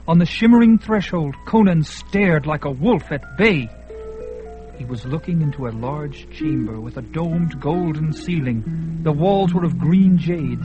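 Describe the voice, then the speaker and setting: A man's voice: on the high side, lo-fi sound. A man narrating a fantasy radio drama with dramatic, swelling delivery. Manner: dramatic, swelling at peaks, hushed in quiet parts.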